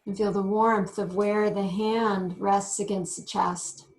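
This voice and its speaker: A woman teaching yoga meditation, giving gentle instructions in short phrases with frequent pauses. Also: medium pitch, level tone, rough recording. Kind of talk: guided meditation.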